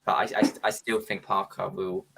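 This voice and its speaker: A man's voice: low voice, flat delivery, moderate pace, gravelly, noisy background. A man co-hosting a casual prediction show, thinking aloud in a hesitant way. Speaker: show co-host. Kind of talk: casual chat about match predictions.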